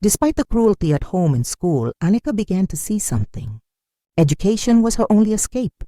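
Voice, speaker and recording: A narrator reading a scripted dramatic story in a measured storytelling manner. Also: medium pitch, sing-song, dark tone, dry recording, clean studio sound.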